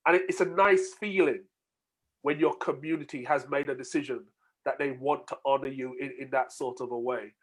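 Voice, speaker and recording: A man's voice: medium pitch, fairly even intonation, moderate pace, low-quality recording. A man hosting an online group discussion over a video call, talking in a conversational, moderating way. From United Kingdom. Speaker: host.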